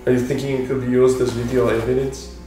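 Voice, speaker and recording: A man voicing a cold, bullying police interrogator with a put-on Russian accent, speaking in deliberate threats. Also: medium pitch, level tone, warm tone, close to an everyday voice. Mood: cold menace. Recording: echoey room, off mic, game music behind.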